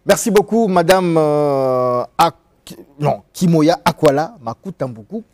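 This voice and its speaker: A man's voice: on the high side, lively intonation, slow pace, darkish tone, no echo, studio quality. Courteous Congolese radio host, man. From Republic of the Congo. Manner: courteous, hesitant with fillers. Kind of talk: radio interview.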